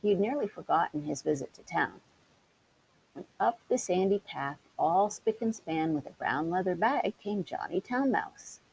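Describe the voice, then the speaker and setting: Creaky woman reading a children's story. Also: on the low side, lively intonation, moderate pace, a little mellow, poor audio. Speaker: storybook reader. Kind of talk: children's story read-aloud. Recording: hissy background noise.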